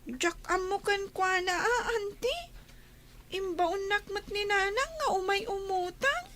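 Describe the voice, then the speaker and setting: A woman's voice: very high-pitched, measured pace, sharp tone, a little hiss or hum, full character voice. A woman voicing a pleading, whiny young child with a northern Philippine accent in a comedy radio drama.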